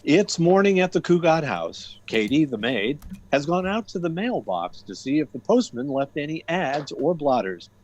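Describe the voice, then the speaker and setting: Animated, higher-pitched male radio announcer. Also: moderate pace, few pauses. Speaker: announcer and narrator. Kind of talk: scripted radio comedy narration.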